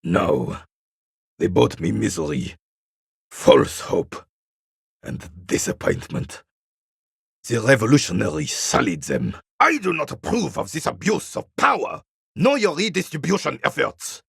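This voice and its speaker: An actor playing a proud, embittered old royalist war veteran in a video game, with a raspy voice and a haughty, cantankerous, formal way of speaking. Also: male voice, medium pitch, sing-song, unhurried, dry recording, studio quality, exaggerated voice. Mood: bitter, nostalgic. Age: older. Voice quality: gravelly, raspy, husky. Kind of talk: dramatic video game character acting.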